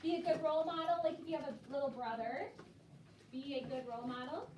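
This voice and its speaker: A woman's voice: sits high, fast, a little mellow. A woman primary school teacher leading a classroom discussion with young children, encouraging them and repeating their answers back. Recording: echoey room, distant mic, clear background noise.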